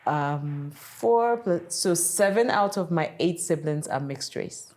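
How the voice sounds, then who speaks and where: A woman from Kenya hosting a reflective interview, phrasing her thoughts in a deliberate, searching way and often checking in with "right?". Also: lowish voice, expressive, darkish tone. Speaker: podcast host.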